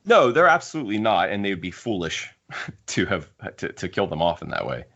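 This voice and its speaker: A man's voice: deep voice, big pitch swings, fairly quick, sharp tone. A man hosting a podcast, casually discussing a book and thinking aloud as he rambles. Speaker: podcast host. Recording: dull lo-fi podcast audio.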